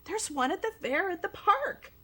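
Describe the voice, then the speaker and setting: An eager, helpful little brother from a storybook with a tiny, very high child voice, as a woman puts him on. He chirps out his idea in a lively rush. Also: normal speed, a little hiss or hum, full character voice.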